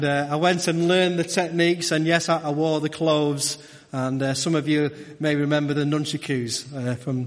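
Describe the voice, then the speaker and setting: Guy preaching, middle aged, kinda high voice, halting and repeats himself, big echoey hall. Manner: halting, repeats words and phrases. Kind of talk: church sermon, conversational. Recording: echoey hall with long reverb.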